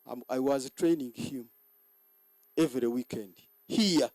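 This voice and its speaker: A hoarse man giving a boastful ceremony speech as general manager, halting and repeating words. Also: medium pitch, a little mellow, no echo, poor audio.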